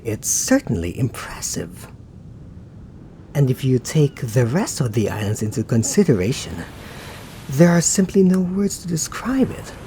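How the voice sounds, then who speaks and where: Man acting suave young male knight, ASMR roleplay, unhurried musing delivery, lively pitch movement, faint rumbling noise behind. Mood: musing, fond. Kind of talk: ASMR roleplay addressed to the listener.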